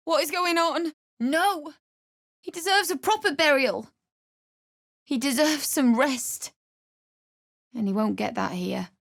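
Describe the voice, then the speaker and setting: High-voiced distraught young widow. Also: female voice, vocal fry, a bit bright, no room sound, studio quality, heavily acted. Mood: bitter, resigned. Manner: emotional, pleading, blunt. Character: distraught young grieving widow, pregnant, desperate, bitter. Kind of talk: video game character dialogue.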